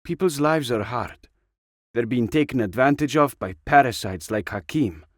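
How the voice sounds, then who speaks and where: A man's voice: mid-range voice, animated, normal speed, no echo, clean studio sound, pitched above the performer's own voice, lightly acted. A man voicing a weary, stern, principled middle-aged woman, an earnest veteran soldier, in an audiobook story. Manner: earnest, disapproving.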